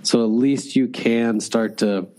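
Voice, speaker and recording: A man's voice: medium pitch, fairly even intonation, takes it slowly, darkish tone. A man in a casual interview, speaking loosely, with lots of "like" and restarts. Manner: loose, filler-heavy, frequent "like". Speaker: sales executive guest.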